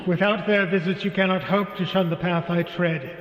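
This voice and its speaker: Man acting a doomed, echoing ghost, high-voiced. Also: fairly even intonation, moderate pace, natural voice. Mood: solemn warning. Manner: solemn, lamenting, portentous. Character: weary, doomed ghost of a dead businessman. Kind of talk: theatrical radio drama acting. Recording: heavy echo and reverb, clear noise.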